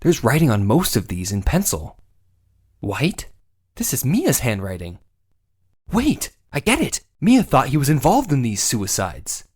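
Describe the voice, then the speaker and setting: A man's voice: mid-range voice, wildly swooping pitch, measured pace, husky, heavily acted. A man playing an earnest, dogged young defense lawyer in a voiced game drama. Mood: startled discovery. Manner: musing aloud, then pointed and accusatory.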